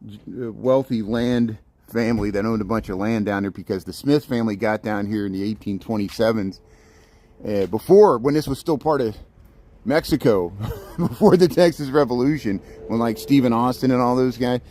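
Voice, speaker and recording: A man filming a walking tour outdoors on the grounds of a historic house, narrating loudly into a handheld phone mic and often repeating words. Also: mid-range voice, normal speed. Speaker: vlogger touring a historic site.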